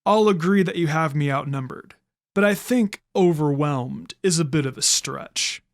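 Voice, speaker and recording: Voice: mid-range voice, moderate pace, voice pushed down, natural voice. A narrator voicing a smirking, menacing young male ninja villain in an audiobook-style story, with a taunting drawl. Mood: wary taunting.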